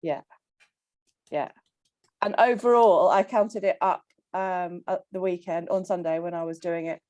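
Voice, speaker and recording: Slow, chatty woman with a croaky voice. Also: on the low side, a little mellow, no room sound. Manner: chatty, laughs often, many ums. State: croaky voice from illness. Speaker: coaching client. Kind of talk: casual group coaching call.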